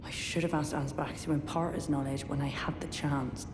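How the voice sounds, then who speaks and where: A woman's voice: fairly even intonation, moderate pace, loud music behind the voice, lightly acted. An actress playing a proud, loyal young female warrior in a fantasy game, speaking in a formal, solemn, firm manner. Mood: guarded, musing. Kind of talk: dramatic fantasy video game dialogue. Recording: echoey hall, rumbling background noise.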